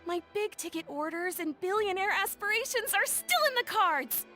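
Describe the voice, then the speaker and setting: Dramatic young woman engineer, game character, extremely high, piercing bright tone, grandiose, music behind. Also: animated, moderate pace, full character voice. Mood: excited. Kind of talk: English video game voice acting.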